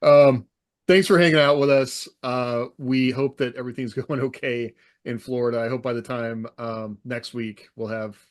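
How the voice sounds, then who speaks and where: A man's voice: medium pitch, steady tone, moderate pace. A man hosting a podcast in a casual, conversational style, easygoing and informal. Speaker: podcast host. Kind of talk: casual podcast chat and sign-off.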